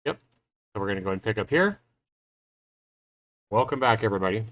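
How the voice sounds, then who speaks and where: A man's voice: fairly low pitch, animated, brisk, no echo, lo-fi sound. A man hosting a livestream, recapping a visual novel in a chatty, informal way. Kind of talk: casual livestream recap, then reading game text aloud.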